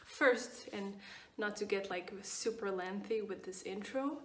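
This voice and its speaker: A woman's voice: mid-range voice, measured pace, recorded from a distance. A woman of twenty-nine from Portugal, speaking English with a Portuguese accent, chats casually about her skincare routine, repeating words and using lots of fillers. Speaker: skincare vlogger. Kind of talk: casual product review. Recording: echoey room, voice off-mic.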